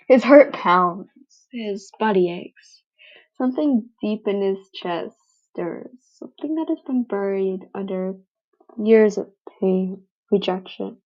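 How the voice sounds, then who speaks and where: A woman narrator with a mid-pitched voice reads a dramatic story. Her delivery is very slow, brooding and halting, and the home recording sounds somewhat dull. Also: a little mellow, slightly rough. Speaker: fan fiction narrator.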